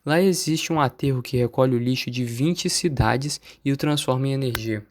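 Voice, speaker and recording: A man's voice: medium pitch, normal speed, darkish tone, close to an everyday voice. A man with a Brazilian accent playing a studious, curious student who explains things like a school presentation in an amateur radio play. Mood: earnest, explanatory. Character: studious, curious male student answering teacher. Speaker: student in class.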